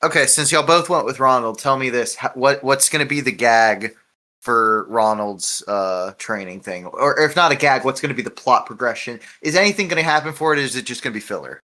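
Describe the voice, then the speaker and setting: A man's voice: medium pitch, a little fast, pushed and bright. A man hosting a casual podcast, talking in a loose, rambling way with chuckles. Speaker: podcast host.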